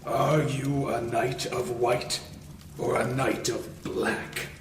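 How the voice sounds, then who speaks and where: A man playing a smug, villainous master of ceremonies for a cult in a noir audio drama, theatrical and deliberate. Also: mid-range voice, flat delivery, natural voice. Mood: ceremonial. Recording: echoey, distant mic, clear background noise.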